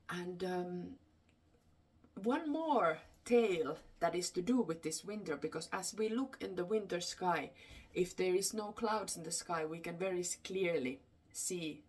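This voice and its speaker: A woman with a Finnish accent, a native Finnish speaker, telling folklore unscripted in a halting way, searching for her words. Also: lowish voice, breathy edge. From Finland. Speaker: storyteller. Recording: distant microphone.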